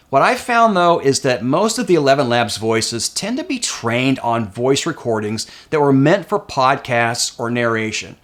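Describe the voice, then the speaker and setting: A man narrating a scripted software tutorial as its host. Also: mid-range voice.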